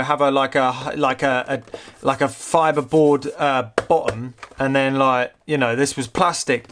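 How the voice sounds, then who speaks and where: A British man giving casual, excitable hands-on commentary as he tinkers with gadgets, thinking aloud informally. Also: on the high side, a little hiss or hum. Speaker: host of a vintage technology museum channel.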